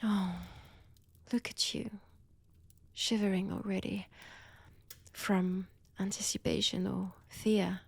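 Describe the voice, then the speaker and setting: A woman's voice: medium pitch, level tone, no room sound, heavily acted. A woman with a French accent voicing a seductive, menacing female vampire in an intimate ASMR roleplay, whispery and teasing, close to the microphone. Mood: soothing menace. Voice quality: breathy, whispery. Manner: slow, teasing, deliberate delivery. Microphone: close, dry microphone.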